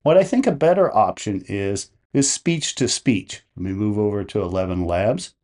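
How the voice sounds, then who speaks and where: A man presenting a step-by-step tutorial in a measured, explanatory way. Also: lowish voice, lively intonation, a little mellow. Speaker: tutorial host. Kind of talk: step-by-step instructional narration.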